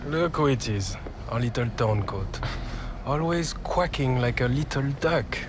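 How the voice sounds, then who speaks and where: An actor playing a mocking, teasing rival footballer taunting someone in a video game. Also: male voice, mid-range voice, expressive, unhurried, lightly acted. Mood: jeering. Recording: noisy outdoor scene.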